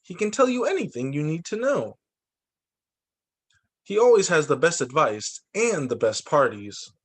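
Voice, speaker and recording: A man who teaches young children, reading picture books aloud in an expressive, sing-song storytime delivery. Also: a little high, a little slow, breathy edge. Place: remote video call story session.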